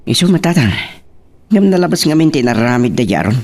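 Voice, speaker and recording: An actor playing an aggrieved, hot-headed grown son, emphatic and protesting in an audio drama. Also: male voice, a little high, big pitch swings, fairly quick, a little mellow. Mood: agitated, protesting. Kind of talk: Ilocano radio-style drama acting.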